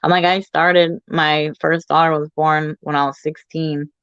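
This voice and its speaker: A woman's voice: deep voice, little pitch movement, measured pace, a bit bright, smooth, no echo, lo-fi sound. A woman in her forties co-hosting a stream in casual chat, candid and laughing often. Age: 40s. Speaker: stream co-host.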